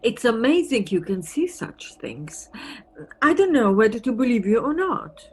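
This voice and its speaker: An actress playing a wry, wistful married police detective from Antwerp in an audio play, musing conversationally. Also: female voice, on the high side, big pitch swings, measured pace, breathy edge, heavily acted. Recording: noisy recording with background ambience.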